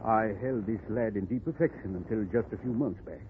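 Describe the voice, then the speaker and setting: An actor playing a pompous, blustering older colonel who repeats himself, in 1940s radio drama style. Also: male voice, fairly low pitch, fairly quick, lightly acted. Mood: mournful. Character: pompous, blustering older male colonel, horse owner and father. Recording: narrow-band old radio recording, faint noise.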